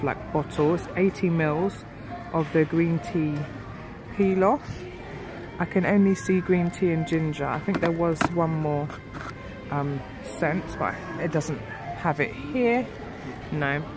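A woman with a cold-stuffed nasal voice, chatting casually through a shop walkthrough on a handheld phone. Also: low-pitched. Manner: chatty, filler-heavy. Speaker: beauty vlogger. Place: shop aisle, indoors. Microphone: handheld phone camera.

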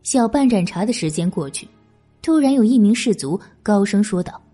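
Husky, expressive woman narrator. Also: medium pitch, normal speed. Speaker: audiobook narrator. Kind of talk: scripted narration of a historical novel. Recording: background music. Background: music.

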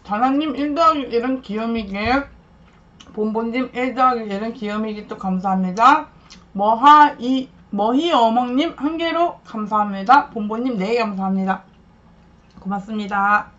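A woman's voice: a little high, measured pace, fairly bright tone. A young woman streaming herself eating, chatting casually, thanking viewers and murmuring between bites in a small room. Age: young adult. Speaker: mukbang streamer. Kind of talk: casual live-stream chat while eating. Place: small room indoors at a table. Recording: dull lo-fi stream audio, faint noise.

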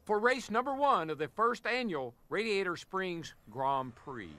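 High, bright American race announcer. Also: male voice, expressive, measured pace, full character voice. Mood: upbeat. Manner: polished, enthusiastic announcer delivery. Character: upbeat, showy race announcer car. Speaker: race commentator. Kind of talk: sports broadcast commentary in a game.

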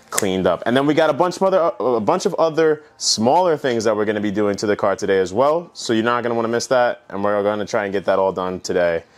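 A young man talking casually and explaining hands-on work, as in a DIY car vlog, into a clip-on mic. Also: fairly low pitch. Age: young adult. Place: indoor garage, partly inside car. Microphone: clip-on lavalier.

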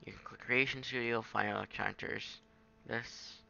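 A man giving a casual, improvised software tutorial in a halting, self-deprecating way on a home microphone. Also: medium pitch, steady tone, vocal fry, highs cut off, a little hiss or hum. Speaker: tutorial maker. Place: at a home computer, screen recording.

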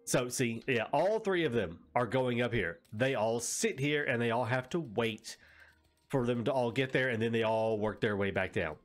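A man's voice: mid-range voice, lively intonation, moderate pace, soft background music. A man with a head cold and a nasal voice, giving casual commentary as he plays a city-building game, rambling and correcting himself as he explains. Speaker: let's play host.

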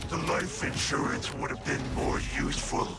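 An actor playing a menacing, theatrical mad scientist boss in a video game, full of sardonic taunts. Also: male voice, fairly high pitch, fairly even intonation, moderate pace, vocal fry, heavy background noise. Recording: game audio with gunfire and ambience.

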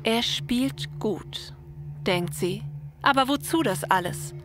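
A woman's voice: on the high side, lively intonation, slow pace, whispered. A woman narrator reading a scripted story. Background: faint music.

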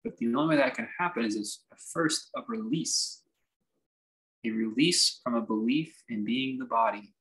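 A man teaching a guided meditation, speaking in measured, halting phrases with reflective pauses. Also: mid-range voice, fairly bright tone, poor audio. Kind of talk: guided meditation, unscripted instruction.

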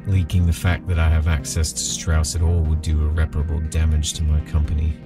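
An actor plays a brooding male executive in an audio drama. His voice is extremely deep and even, formal and gravelly. He sits back from the mic under loud music. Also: brisk, distant mic. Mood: grave disapproval. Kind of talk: scripted audio drama personal log.

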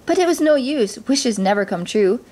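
A woman voicing a gentle, earnest, downtrodden young woman in a storybook fairy tale. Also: a little high, lively intonation, moderate pace. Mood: sad.

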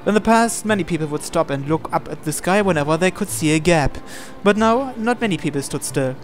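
Guy narrating a visual novel, kinda high voice, trips over lines now and then, faint music. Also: expressive, brisk. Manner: stumbles and repeats phrases while reading. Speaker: gamer reading a visual novel aloud. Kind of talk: dramatic read-aloud narration with asides. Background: game music.

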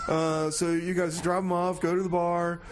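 A folksy hustler of an uncle in a comedy radio play, acted by a man. His voice runs high, and he rambles along in an exasperated way. There is faint noise behind him. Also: little pitch movement, normal speed, natural voice. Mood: folksy, cheerful pitching. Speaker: radio show host.

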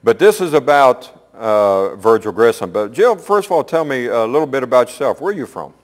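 An older man hosting a local TV interview, talking in a folksy, rambling and halting way. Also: mid-range voice, expressive. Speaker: host. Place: small TV studio.

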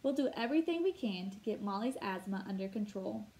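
A woman reading a storybook aloud as a jolly, helpful male doctor who talks briskly and decisively. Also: medium pitch, lively intonation, a little mellow, close to an everyday voice. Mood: reassuring.

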